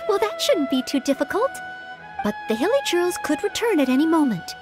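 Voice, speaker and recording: A young maid-knight from a video game, with an extremely high girlish voice. She speaks politely and earnestly, with lively intonation and a helpful, dutiful air. Music is clearly heard behind her. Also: fairly quick. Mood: polite, puzzled. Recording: music under the voice.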